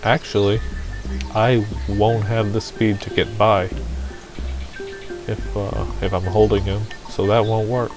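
A man with a fairly deep voice commentates on a video game. He thinks out loud casually, with a musing, self-deprecating manner. Music plays clearly behind him. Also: flat delivery, slow pace, pauses often. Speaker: gamer, let's play host.

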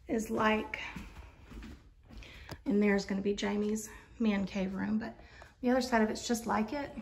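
A woman's voice: on the high side, background noise. Chatty woman touring a home. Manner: chatty, rambling, many fillers. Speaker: vlogger touring a mobile home. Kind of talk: casual home tour walkthrough. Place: inside an empty model home. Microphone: handheld phone camera.

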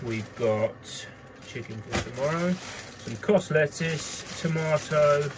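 A man's voice: on the high side, takes it slowly. A man, chatting casually while cooking and sailing on a small boat. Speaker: cook and skipper. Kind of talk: casual vlog chat. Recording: noisy boat sound.

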